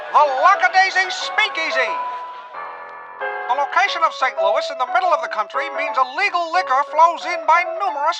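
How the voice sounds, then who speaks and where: A woman narrates a scripted story in a dramatic style. Her voice is high, and music plays very loudly underneath it. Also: poor audio. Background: loud music.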